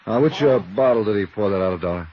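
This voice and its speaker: An American man playing a gruff, brisk, commanding police lieutenant in a 1950s radio drama. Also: lowish voice, lightly acted. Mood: businesslike. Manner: blunt, commanding. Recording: noisy, muffled 1950s radio recording.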